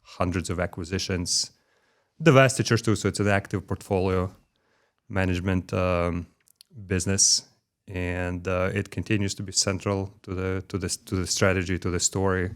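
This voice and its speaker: A middle-aged man with a Ukrainian accent and a very low voice. He answers interview questions in a measured way, pausing often with fillers, in a corporate office. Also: level tone. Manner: measured, with frequent "um" and "uh" pauses. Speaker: guest, corporate development executive. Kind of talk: conversational interview.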